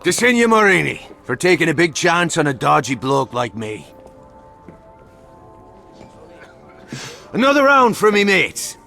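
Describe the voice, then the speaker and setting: Sardonic Irish tough guy with lively pitch. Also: moderate pace, soft background music, heavily acted. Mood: cheerful. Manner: sardonic, rough-edged, slangy. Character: gruff, sardonic, foul-mouthed Irish racing mechanic. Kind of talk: dramatic video game acting.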